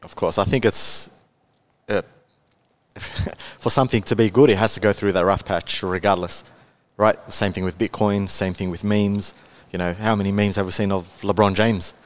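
A man's voice: fairly low pitch, steady tone, moderate pace. A man in his twenties with an Australian accent, chatting casually as a podcast guest, laid-back and full of fillers. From Melbourne, Australia. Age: 20s. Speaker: guest, content creator.

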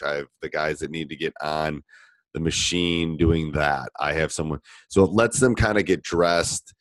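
A middle-aged man coaching from experience, his very low voice thickened by a cold. He rambles through listener questions with plenty of fillers and restarts. The sound is dry and close, with no room around it. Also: no echo. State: voice deeper than normal from a cold. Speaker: basketball coach and podcast host. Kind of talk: live Q and A, casual answers to listener questions.

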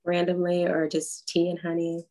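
A woman singer answering interview questions in a relaxed, chatty way, laughing easily and using lots of fillers. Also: lowish voice, moderate pace, slight background noise. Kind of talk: casual interview chat. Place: Atlanta.

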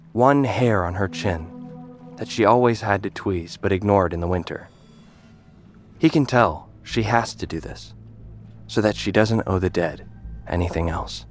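A man with a deep voice narrates a scripted audio drama. Faint music plays beneath the reading.

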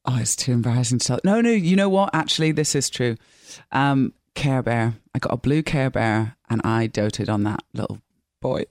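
A woman's voice: extremely low pitch, normal speed, darkish tone, no echo. Chatty British woman in a dry studio. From Scotland. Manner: chatty and playful, jokey asides, laughs easily. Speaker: guest actress. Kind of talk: casual interview chat. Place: radio studio. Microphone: studio microphone.

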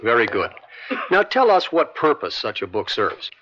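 A man acting a brisk, methodical prosecuting attorney in a radio courtroom drama, with precise, formal, controlling questioning. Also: mid-range voice, sing-song, pushed and bright. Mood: methodical, businesslike. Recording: dull vintage radio broadcast sound.

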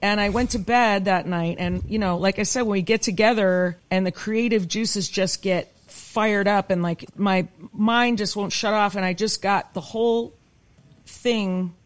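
A woman's voice: medium pitch, steady tone, a little slow. A woman in a casual video-call interview, a band member telling a songwriting story and acting out the quoted dialogue.

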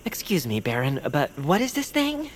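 A woman's voice: sits low, wildly swooping pitch, normal speed, slight background noise. A woman voicing a timid, earnest, wordy young bird creature in a cartoon audio drama. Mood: nervous, evasive.

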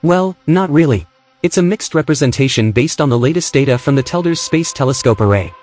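An actor playing a wry, reluctant, anxious tech colleague in a naturalistic audio drama, with a dry manner. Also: male voice, a little high, animated, a little fast, a little mellow, soft background music, lightly acted. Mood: dry, matter-of-fact.

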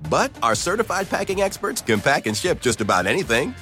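Dude doing a friendly ad read, bouncy voice, faint music behind. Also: male voice, on the high side, big pitch swings, a little fast. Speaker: ad announcer. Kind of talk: scripted radio ad.

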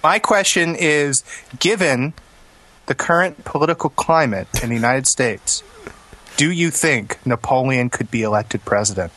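A man's voice: mid-range voice, lively intonation, unhurried, fairly bright tone. Dry, sarcastic man hosting a podcast. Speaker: podcast host. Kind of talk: casual joking chat.

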